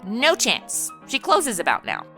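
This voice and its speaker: A brash, snarky American party girl played by a woman with a bright, very animated voice. She banters and narrates boozily in a comedy audio drama, music faintly behind. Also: fairly high pitch, unhurried, exaggerated voice. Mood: tipsy, snarky. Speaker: lead of the show.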